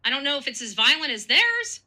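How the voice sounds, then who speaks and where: A woman with a high, very animated voice delivers casual commentary. She sounds very bright and piercing, with an emotional, dramatic way of talking. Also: fairly quick. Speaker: online commentator.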